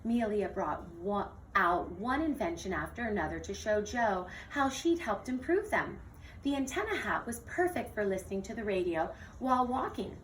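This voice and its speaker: A woman teacher reading a children's picture book aloud in an expressive, theatrical storytelling manner. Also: medium pitch, normal speed. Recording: distant mic with faint noise.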